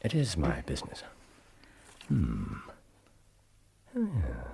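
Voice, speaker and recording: A man's voice: extremely low pitch, huge pitch swings, slow, vocal fry, dark tone, breathy edge, heavily acted. An actor playing a brusque, aloof male detective who makes dry deductions in a radio drama. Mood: deducing.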